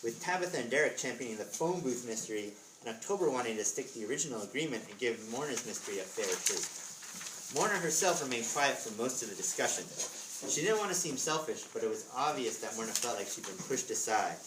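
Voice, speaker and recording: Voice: medium pitch, expressive, quick pace, background noise. Story narrator for live readers theatre, roomy sound, distant from the mic. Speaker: author reading own book as narrator. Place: live author visit, indoor room.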